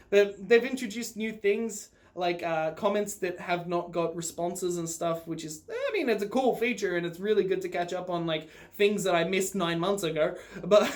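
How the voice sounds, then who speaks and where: Casual, rambling, sweary vlogger. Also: mid-range voice. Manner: rambling, informal, sweary, often breaking into laughter. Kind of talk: casual personal storytelling to viewers.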